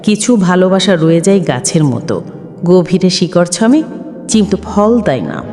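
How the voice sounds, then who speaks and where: Woman as a grave, quietly resolute young man in a sad audio story; reverberant, music bed. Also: on the low side, very animated, normal speed, pitched below the performer's own voice. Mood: reflective. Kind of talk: storybook dialogue. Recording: long reverb, music underneath.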